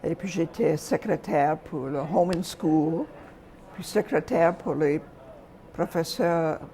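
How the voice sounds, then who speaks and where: An older woman from Calgary, Canada, recalling her childhood and early teaching years as an interview guest, speaking softly with frequent hesitations and pauses. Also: deep voice. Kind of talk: reminiscing in an interview.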